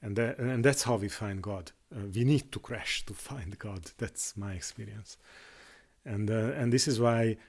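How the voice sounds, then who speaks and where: Halting low-voiced man, Hungarian native. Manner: halting, many restarts and fillers. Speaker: YouTuber. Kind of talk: unscripted monologue on faith.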